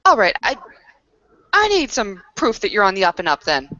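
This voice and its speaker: A suspicious, hot-tempered young woman in a tabletop game, played by a woman. Her voice is highish and very animated, blunt and demanding but halting. The close recording sounds a little dull. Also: dry recording.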